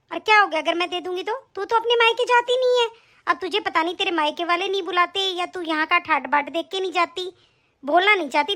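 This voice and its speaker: Scolding older matriarch, shrill and high, played by a woman. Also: pushed and bright. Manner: scolding, indignant. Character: stern, scolding old mother-in-law. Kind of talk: family skit acting.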